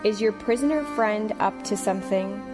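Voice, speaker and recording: A woman's voice: mid-range voice, moderate pace, over music, close to an everyday voice. A woman playing a sensible, exasperated queen in a comedic audio drama, questioning sternly in formal phrases. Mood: skeptical. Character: exasperated, sensible queen scolding her foolish husband.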